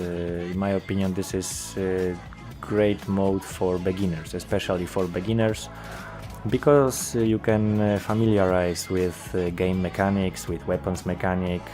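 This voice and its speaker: Young Polish-accented gamer, deep and unhurried, hesitant and full of fillers, music underneath. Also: male voice, a little mellow. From Poland. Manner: hesitant, many filler sounds. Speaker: gaming YouTuber. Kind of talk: game update commentary.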